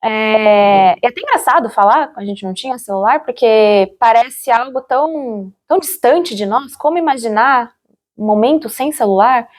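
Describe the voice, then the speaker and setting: A woman's voice: a little high, expressive, normal speed. Woman speaking informally and reflectively. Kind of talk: reflective, informal spoken testimony.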